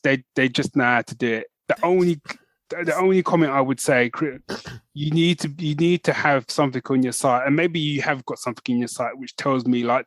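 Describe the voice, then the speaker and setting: A man's voice: mid-range voice, dry recording. Enthusiastic rambling male podcaster. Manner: enthusiastic, rambling with many restarts. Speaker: podcast co-host. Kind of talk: casual chat.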